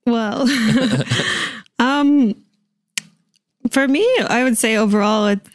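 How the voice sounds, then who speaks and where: A woman's voice: a little high, extremely slow, dry recording. An American woman from the Washington DC suburbs, talking casually and hesitantly as an interview guest. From suburbs of Washington DC, USA. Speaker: podcast guest.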